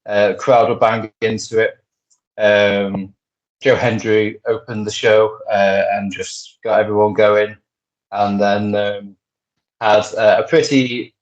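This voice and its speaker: A man co-hosting a wrestling podcast, rambling casually with lots of fillers and restarts. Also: fairly low pitch. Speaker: podcast co-host. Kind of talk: casual wrestling news chat. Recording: muffled lo-fi podcast audio.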